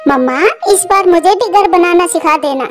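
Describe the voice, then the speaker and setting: A woman's voice: extremely high pitch, moderate pace, soft background music. A woman voicing an eager, insistent little girl chick in a childlike cartoon manner.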